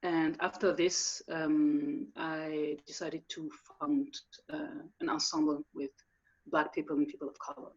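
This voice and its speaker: A woman's voice: deep voice, animated, a little slow, creaky, slightly rough. Woman on a muffled call. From France. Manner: hesitant, many fillers and restarts. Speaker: guest musician. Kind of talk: video call interview. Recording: dull lo-fi audio.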